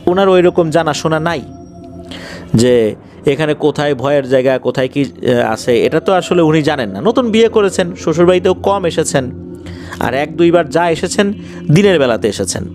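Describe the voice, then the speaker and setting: Bangladeshi guy doing spooky storytelling, kinda high voice, very expressive pitch, chatty and suspenseful, soft music behind. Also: normal speed, pauses often. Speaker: horror story narrator. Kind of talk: conversational spoken storytelling of paranormal incidents. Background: faint background music.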